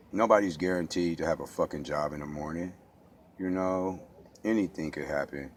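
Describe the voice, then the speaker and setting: A man's voice: extremely low pitch, a little hiss or hum. An American man speaking off the cuff in an impassioned monologue, blunt and streetwise, with slang and heavy swearing. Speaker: local resident speaking out.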